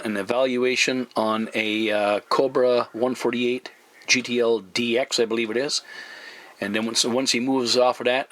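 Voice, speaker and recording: A man's voice: medium pitch, a bit bright. A man having a casual radio chat as a CB operator, friendly and courteous, often repeating himself. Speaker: CB radio operator, YouTube channel host.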